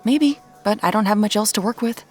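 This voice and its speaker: A woman's voice: mid-range voice, fairly quick, a little mellow, soft background music, lightly acted. A woman playing a determined, dryly frank amateur detective in a naturalistic audio drama. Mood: stubborn. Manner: dry, frank, stubborn. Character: determined amateur woman detective hunting her sister's killer.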